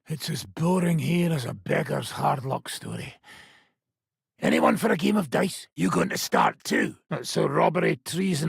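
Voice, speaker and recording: A man voicing a gruff, sardonic middle-aged mercenary bandit in a video game, with a raspy, gravelly voice and a blunt, coarse, mocking manner. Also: a little high, a bit bright, dry recording, studio quality, exaggerated voice. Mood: mocking, amused.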